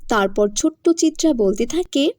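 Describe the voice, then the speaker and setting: High-pitched, mellow woman storyteller. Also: expressive, moderate pace, no room sound. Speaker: story narrator. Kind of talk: scripted cartoon storybook narration.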